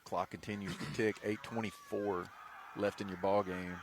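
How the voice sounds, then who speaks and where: A man's voice: on the low side, flat delivery, moderate pace. American man calling football over stadium noise. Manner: folksy, joking, easygoing between plays. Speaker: play-by-play announcer. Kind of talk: live high school football radio play-by-play, casual banter. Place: outdoors at a football game. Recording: noisy outdoor live sports broadcast. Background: stadium ambience.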